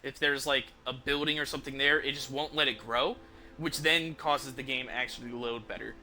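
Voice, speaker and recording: A man's voice: mid-range voice, fairly even intonation, a little fast, pushed and bright, soft background music. A man giving casual live stream commentary as a game streamer, talking in an informal, enthusiastic, rambling way.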